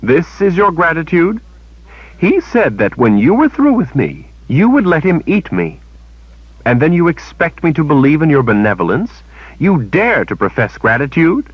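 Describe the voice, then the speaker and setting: A defiant young woman throws out sharp, lively accusations, as a male narrator lifts his voice for her. Her lines climb high and animated. The recording is dry and a little dull. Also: normal speed, dry recording. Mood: angry. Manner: indignant, rising.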